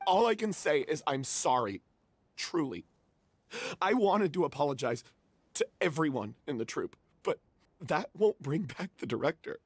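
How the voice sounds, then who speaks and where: An actor playing a young male stage performer confessing a guilty secret, hesitant and then anguished, with a husky voice. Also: on the high side, very animated, moderate pace, no room sound. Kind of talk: game voice acting.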